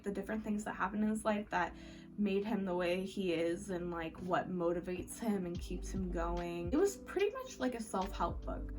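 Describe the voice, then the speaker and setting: A woman chatting casually about what she has read as a book vlogger, informal and candid with slang and some swearing. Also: mid-range voice. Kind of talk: casual chatty monthly reading recap to camera. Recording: music playing behind the voice. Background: background music.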